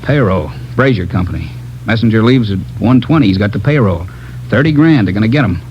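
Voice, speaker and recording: Actor playing a panicky suspect, pleading, high strained cries, wildly swinging pitch, dull old radio sound. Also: male voice, expressive, fairly quick, a little hiss or hum, close to an everyday voice. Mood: frantic. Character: panicky male suspect, frightened and whining. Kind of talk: 1950s radio drama acting.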